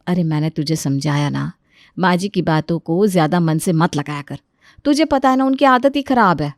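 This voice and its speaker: A woman's voice: fairly low pitch, expressive, moderate pace, dry recording, clean studio sound, pitched below the performer's own voice. A woman playing a patient, weary elder daughter-in-law, respectful but aggrieved, in a family story. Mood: soothing.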